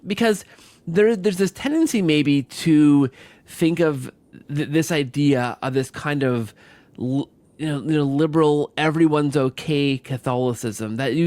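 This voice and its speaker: A man hosting a conversational podcast interview, circling and rephrasing his phrases as he builds a point. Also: fairly high pitch. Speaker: podcast host.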